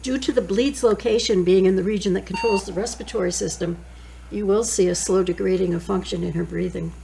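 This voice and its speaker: An actress playing a reassuring, clinical hospital nurse in an audio drama. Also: female voice, mid-range voice, fairly even intonation, a little fast, close to an everyday voice. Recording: clearly noisy recording.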